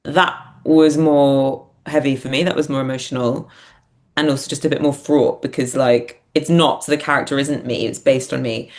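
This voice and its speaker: A woman's voice: very deep voice, steady tone, normal speed, a little mellow. Reflective woman, casual interview talk. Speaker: podcast guest, writer and performer.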